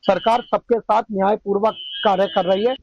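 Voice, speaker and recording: A man's voice: sits high, steady tone, fairly quick, vocal fry, darkish tone, dry recording. A man giving his opinion in an interview, insistent and repetitive. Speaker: street interviewee. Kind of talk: spontaneous opinion to camera. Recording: dull lo-fi dry field recording.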